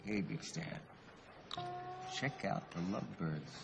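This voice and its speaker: A loyal male sidekick in a film comedy. He speaks in a low, creaky, gravelly voice with an easygoing air. Loud music plays behind. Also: big pitch swings, slow, heavily acted. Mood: supportive.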